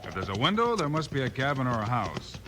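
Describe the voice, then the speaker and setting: An actor playing a calm, watchful lawman in a 1950s radio western, talking in a matter-of-fact way. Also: mid-range voice, fast, close to an everyday voice. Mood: calm, reasoning. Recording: noisy old 1950s radio recording.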